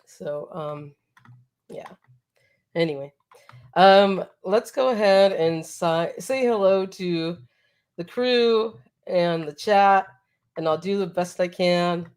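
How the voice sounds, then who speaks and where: A woman's voice: fairly low pitch, slow pace, a little mellow, clear, resonant tone. A woman co-hosting a live toy stream, congested from allergies, upbeat and laughing often as she greets chatters. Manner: upbeat, laughs often, reads out chat names. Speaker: co-host. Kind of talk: casual live-stream chat.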